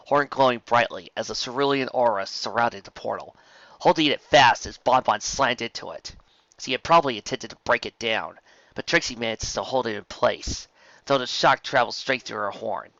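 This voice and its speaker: A man's voice: mid-range voice, normal speed, bright, forceful tone, dry recording. A man giving a live storybook narration as the reader, on a dry, lo-fi recording. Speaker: narrator and reader of fan fiction.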